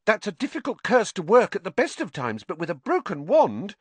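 Man putting on an earnest, high-voiced schoolgirl. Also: wildly swooping pitch, a little fast, breathy edge, no room sound, heavily acted. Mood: anxious. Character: earnest, anxious, bossy young schoolgirl.

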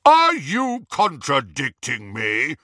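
A man's voice: on the high side, big pitch swings, measured pace, sharp tone, no room sound, clean studio sound, full character voice. A man playing a commanding young wizard in disguise. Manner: commanding, blustering, authoritative.

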